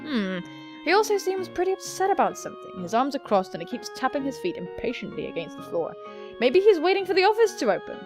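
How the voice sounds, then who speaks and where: A woman's voice: sits high, sing-song, fast talker, music in the background. A woman hosting a gaming video, reading aloud and commenting in a playful, theatrical, cheeky way.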